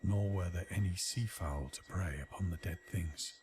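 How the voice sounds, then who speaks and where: Guy acting as haunted doomed man narrating a horror game, real low grave voice, formal old wording, noisy ambience behind. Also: close to an everyday voice. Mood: grave, oppressed. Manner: grave, measured, formal recitation. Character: doomed, haunted man recounting a horrific ordeal at sea.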